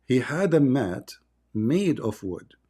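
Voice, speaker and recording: A man's voice: medium pitch, sing-song, slow pace, dark tone, breathy edge. A man hosting a live debate, mocking and sarcastic as he reads verses aloud and searches for passages. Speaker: debate host.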